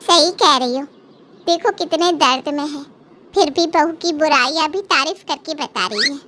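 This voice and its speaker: A woman's voice: high-pitched, moderate pace, pushed and bright. A woman voicing a chatty, sympathetic gossip in a Hindi cartoon story. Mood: pitying, gossipy. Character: chatty, sympathetic gossiping female temple friend.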